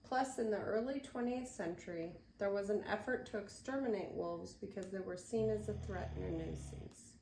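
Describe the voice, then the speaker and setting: A woman's voice: mid-range voice, gravelly. Grandma type lady reading a kids book, crackly voice, takes her time, roomy sound w a little noise. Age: older. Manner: unhurried, expressive, pointing things out to young listeners. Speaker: storyteller reading a picture book aloud. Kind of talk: read-aloud storytime with chatty asides. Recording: roomy echo, faint background noise.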